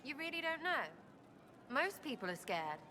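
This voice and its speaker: A woman's voice: high voice, big pitch swings, moderate pace, bright, forceful tone, in a large hall, soft background music, full character voice. An actress playing a blunt, wary, irreverent young woman in a video game, with choppy, slangy phrasing. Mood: earnest, wary. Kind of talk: video game dialogue acting.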